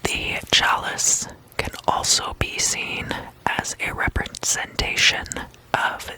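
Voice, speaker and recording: Very slow ASMR whisper. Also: pushed and bright, poor audio. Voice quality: unvoiced, breathy whisper. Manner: repeats words and phrases soothingly. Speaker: host of guided meditation videos. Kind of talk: ASMR guided meditation.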